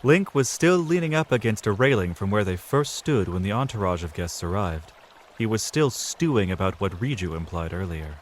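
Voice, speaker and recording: A man with a fairly low voice narrates a fantasy audio drama. He reads scripted prose as a storyteller, telling the tale between the characters' lines. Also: animated, moderate pace, slight background noise.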